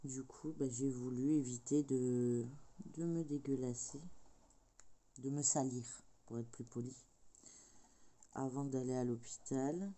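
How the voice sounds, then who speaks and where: Middle-aged female crafter, mellow dark tone, a little stuffy from a cold, meandering chatty delivery. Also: a bass voice, steady tone, unhurried, pauses often. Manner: rambling, hesitant, many filler sounds. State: has a cold. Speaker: craft vlogger. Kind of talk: casual chat while crafting.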